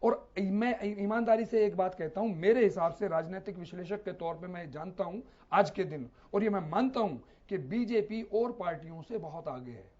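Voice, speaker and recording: A man giving unscripted political commentary as a panel analyst, explaining haltingly with hesitations and repetitions. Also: high-pitched, darkish tone. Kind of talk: unscripted television debate commentary. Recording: slightly dull, lo-fi broadcast audio.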